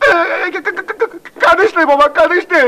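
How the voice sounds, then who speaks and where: A man's voice: very high voice, fairly quick, pushed and bright. An actor playing a flustered, doting middle-aged father in a comic TV drama, stammering and repeating himself, with a Bangladeshi accent. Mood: panicked, soothing. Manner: stammering, repeating words in agitation.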